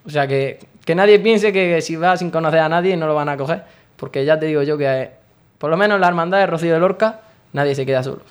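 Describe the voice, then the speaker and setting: Young man, late teens, earnest, devout, talks at length, slightly high-pitched. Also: fast talker, smooth. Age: young adult. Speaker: guest, brotherhood member. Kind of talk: radio interview.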